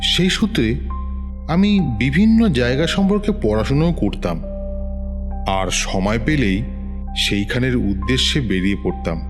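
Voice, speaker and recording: A man's voice: mid-range voice, natural voice. A man playing a self-assured middle-aged village tantric, telling a horror tale dramatically in a West Bengal accent. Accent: Bengali from West Bengal, India. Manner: boastful, dramatic, unhurried storytelling. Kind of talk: dramatized horror story narration.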